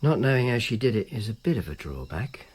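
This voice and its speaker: A man's voice: mid-range voice, very animated, fairly quick, a little mellow, husky. An actor playing a weary, sardonic male informer in ancient Rome, narrating with dry understatement in a radio drama.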